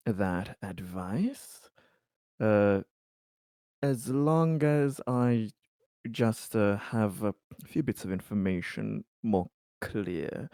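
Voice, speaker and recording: A man playing a tabletop roleplaying game, speaking in improvised dialogue with hesitant, deliberate phrasing. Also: lowish voice, dark tone, dry recording, studio quality.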